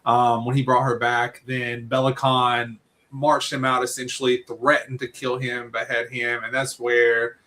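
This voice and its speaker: A man's voice: mid-range voice, level tone, measured pace, pushed and bright. A man co-hosting a podcast, chatting casually about a book and often ending his thoughts with "right?". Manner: rambling, many fillers and "right?" tags. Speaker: podcast co-host. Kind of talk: casual book-club chat.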